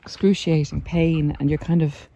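A woman's voice: low voice, little pitch movement, normal speed, warm tone, highs cut off. A middle-aged woman giving an emphatic, encouraging motivational talk to viewers, informally, like a coach out on a walk. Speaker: coach and vlogger. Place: outdoors on a hillside walking trail.

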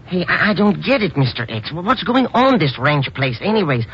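An actor playing a nervous, slow-witted but loyal sidekick in a 1950s radio drama, stammering in slangy, ungrammatical speech. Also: male voice, on the high side, sing-song, husky, heavily acted. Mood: nervous, puzzled. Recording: muffled, noisy old radio broadcast.

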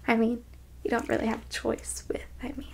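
A woman playing a smug, teasing alien abductor in an ASMR roleplay, speaking softly in breathy half-whispers with condescension. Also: on the high side, completely flat delivery, a little fast. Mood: clinical, soft. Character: smug, teasing female alien abductor examining a captured specimen.

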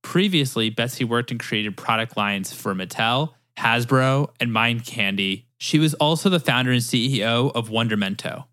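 A man with a mid-range voice hosts a business podcast. He reads the guest introduction in an even, steady way. He then moves into questions for his guests. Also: medium pitch, normal speed, few pauses. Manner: even, reading-style delivery. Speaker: podcast host.

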